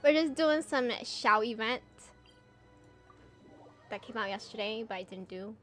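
A young woman with a notably high voice chats animatedly with her viewers, laughing easily and letting sentences trail off. Faint game music sits under her talk, recorded on a home streaming mic. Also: unhurried. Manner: chatty and animated, laughs often, trails off into filler. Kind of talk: casual gameplay chat with chat readers.

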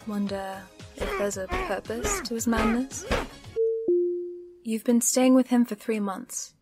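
A sly British supervillainess in an audio drama, acted by a woman. Her voice sits a little high. She teases and probes with wry, mocking confidence. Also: animated, slow pace, loud music behind the voice. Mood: probing, knowing. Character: sly, teasing, knowing supervillain woman with magic. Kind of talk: audio roleplay acting.

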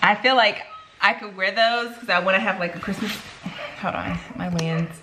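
A woman's voice: mid-range voice, normal speed. Chatty young American woman in echoey kitchen. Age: young adult. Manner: chatty, animated storytelling with rambling asides. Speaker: lifestyle vlogger. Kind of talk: casual chat vlog. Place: home kitchen, indoors. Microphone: camera on the counter, about arm's length. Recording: echoey room, some background noise.

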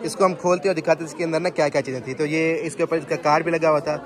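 A man's voice: a little high, fairly even intonation, rapid-fire. Chatty man narrating a vlog over crowd noise. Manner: addresses viewers as guys, chatty. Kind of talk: casual vlog commentary to viewers.

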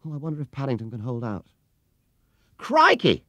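A man voicing an eager, excitable schoolboy who exclaims over a cricket match in a storybook. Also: medium pitch, moderate pace, no echo, clean studio sound, voice pushed up. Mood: excited.

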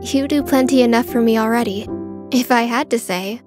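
A woman voicing a quiet, earnest young woman in an amateur manga dub, speaking politely and in measured, formal phrases. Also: fairly high pitch, over music, close to an everyday voice. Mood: earnest, reserved.

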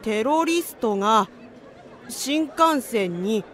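A woman playing a gentle, naive schoolboy with an airy, unhurried manner in an anime audio drama. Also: on the high side, lively intonation, some chatter behind, slight background noise. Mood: innocent, puzzled. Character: gentle, naive, slow-witted schoolboy on the phone.

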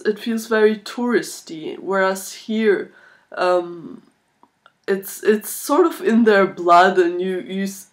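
A woman in her twenties chatting casually to camera in a halting, thoughtful way, recorded on a laptop microphone in a small room. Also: mid-range voice. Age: 20s. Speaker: video blogger, art student. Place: small furnished room indoors. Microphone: laptop built-in microphone at arm's length.

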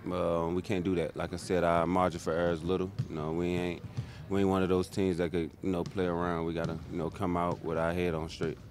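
A young man, a pro basketball player, answering reporters in a halting, laid-back way with many fillers, in an empty arena. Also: deep voice, level tone, darkish tone, slight background noise. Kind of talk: media scrum answers. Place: empty arena seats, indoors. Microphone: handheld reporter mics held close.

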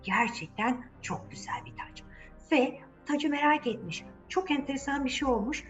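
A woman's voice: high voice, animated, moderate pace, muffled recording, faint music behind. A woman telling a fairy tale to children, speaking to them directly and repeating words for emphasis. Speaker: children's storyteller, channel host.

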